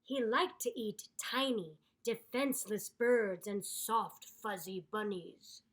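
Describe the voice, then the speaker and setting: A woman reading aloud to children in an expressive storytelling style, with deliberate, dramatic emphasis. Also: medium pitch. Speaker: storybook reader.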